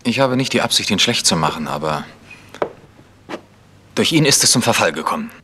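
An actor playing an earnest, guarded village vicar with a formal manner in a German radio crime drama. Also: male voice, lowish voice, sing-song, fairly quick, pushed and bright. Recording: noisy recording with clicks.

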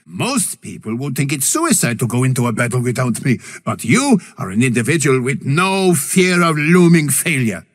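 An actor playing a hearty, courteous and dauntless adventurer in a video game, with a theatrical, husky delivery. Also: male voice, mid-range voice, very animated, moderate pace, few pauses. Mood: grave. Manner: theatrical, courteous, punctuated with tag questions. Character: hearty, gentlemanly adventurer and fighter, jovial, dauntless. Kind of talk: video game character voice lines.

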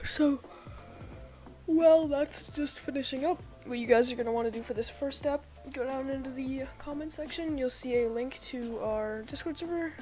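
A woman's voice: high-pitched, warm tone. A woman with a sick-sounding voice, chatting casually to viewers as she talks them step by step through a computer setup. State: sick, voice a bit off. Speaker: YouTube tutorial creator. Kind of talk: casual screen-recorded tutorial voice-over.

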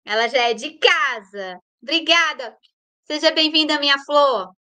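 Bright high-voiced Brazilian woman host. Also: measured pace. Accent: Brazilian Portuguese. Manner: chatty, playful, greets viewers warmly by name. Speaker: livestream host. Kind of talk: casual live chat greeting viewers.

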